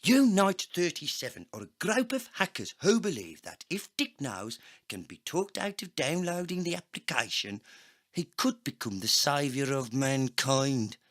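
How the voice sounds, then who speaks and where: A man's voice: a little high, sing-song, measured pace, fairly bright tone, voice pushed up, full character voice. A man voicing a foul-mouthed, ranting, hard-drinking cyborg agent, aggressive and emphatic, in a comedy sci-fi audio play. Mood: earnest, urgent.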